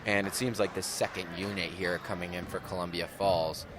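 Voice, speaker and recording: A man provides conversational color commentary during a live basketball game. His voice is on the low side. Clear gym noise and bouncing balls are heard behind him. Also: fairly even intonation, a little fast. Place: indoor school gymnasium during a game.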